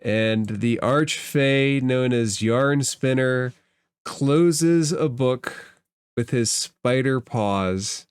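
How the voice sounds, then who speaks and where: A man's voice: mid-range voice, drawn-out words, clear, resonant tone, no echo. A man acting as game master, narrating a fantasy roleplaying game in formal phrases and putting questions to the players.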